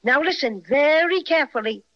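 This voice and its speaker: A tiny Irish teddy bear from an old radio serial, with a very high cartoonish voice. He is chatty, folksy and proud of his growl. The recording is dull and lo-fi. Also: expressive, normal speed. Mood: eager, helpful. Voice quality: high-pitched cartoonish character voice. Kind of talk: 1930s radio acting.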